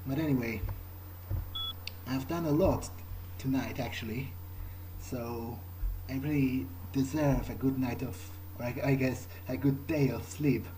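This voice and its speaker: A man's voice: medium pitch, steady tone, unhurried, warm tone, a little hiss or hum, rough recording. A man improvising aloud in character during a game playthrough, speaking in a hesitant, thoughtful, musing way. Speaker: gamer playing in character. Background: game music.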